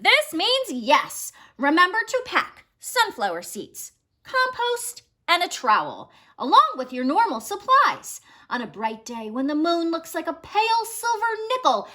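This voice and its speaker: High-pitched, animated woman reading a storybook. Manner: animated, expressive storytelling with drawn-out words. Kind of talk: children's picture book read-aloud. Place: small home room, indoors.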